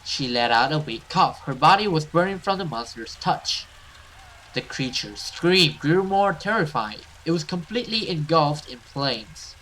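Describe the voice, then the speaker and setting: A man's voice: on the high side, expressive, a little slow, fairly bright tone, a little hiss or hum, rough recording. A man reading a horror visual novel aloud as a gamer, narrating it theatrically. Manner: theatrical, with casual swearing asides. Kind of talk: dramatic let's play narration of a horror story.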